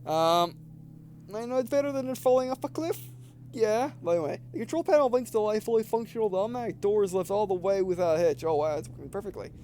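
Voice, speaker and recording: A man in his twenties giving casual, halting let's play commentary, often repeating phrases as he goes. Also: sits high, animated, a little mellow, slight background noise. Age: 20s. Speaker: gaming video host. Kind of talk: casual let's play commentary, reading game text aloud.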